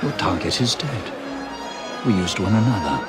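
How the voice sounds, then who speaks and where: A flamboyant french nobleman, sly n amused, florid swoopy talk, game cutscene w loud music. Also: low-pitched, lively intonation, normal speed. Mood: smug, playful. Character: sly, theatrical, flamboyant male French aristocrat schemer. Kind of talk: video game cutscene acting.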